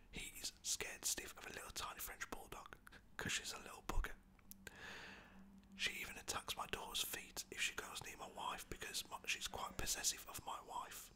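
Casting: British-accented whisperer for chatty ASMR storytelling, cheeky and slangy, breathy, very sharp and sibilant tone. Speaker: storyteller talking about own pets.

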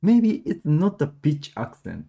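A young Japanese man with a Japanese accent, teaching a live-streamed lesson in halting English with many restarts, switching to quick Japanese asides, at a webcam in a small room. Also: a little high, wildly swooping pitch, vocal fry, warm tone.

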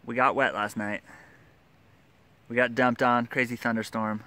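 An upbeat, chatty man in his thirties talks to a handheld camera while hiking a forest trail outdoors. His voice is smooth and resonant, at an ordinary middle pitch. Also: level tone, measured pace, pushed and bright. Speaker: vlogger hiking a long trail. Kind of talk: casual vlog narration. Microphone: handheld camera at arm's length.

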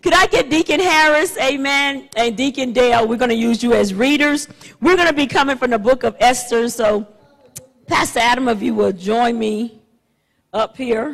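A middle-aged woman pastor leading a church service on a handheld mic, chatty and loose, halting with fillers and restarts. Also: on the high side, gravelly. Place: church sanctuary, indoors. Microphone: handheld microphone. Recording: long church hall reverb.

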